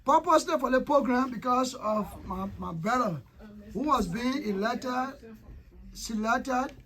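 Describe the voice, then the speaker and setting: High-voiced Liberian man, loud and animated. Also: unhurried. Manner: loud, animated, laughing, repeats himself. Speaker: guest speaker from Dallas. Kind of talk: excited, joking speech. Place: outdoor community barbecue. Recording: noisy crowd all around. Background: crowd chatter.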